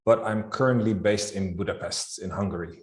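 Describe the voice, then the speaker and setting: A man with a Dutch accent, a guest accounting expert, explaining his views in a calm, structured way. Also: lowish voice, a little fast, roomy sound. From Netherlands. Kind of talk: interview answers.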